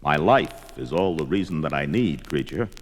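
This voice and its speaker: An actor playing a fierce, brooding barbarian warrior in theatrical, declamatory 1970s audio drama style, talking aloud to himself. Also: male voice, extremely low pitch, lively intonation, moderate pace, a little hiss or hum. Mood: scornful.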